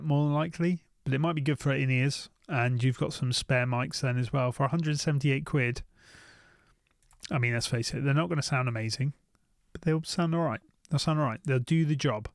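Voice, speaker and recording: A man's voice: mid-range voice, fairly even intonation, normal speed, no room sound, clean studio sound. A British man giving casual, unscripted commentary as a gear reviewer, thinking aloud and often repeating his phrases.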